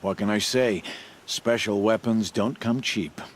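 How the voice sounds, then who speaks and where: A man's voice: fairly low pitch, a little slow, slight background noise, close to an everyday voice. A man playing a cranky, wry village trader in a video game, with a sardonic, grumbling, offhand manner. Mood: grudging, wry. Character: cranky, wry male village trader dealing illicitly with outcasts. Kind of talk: video game cutscene acting.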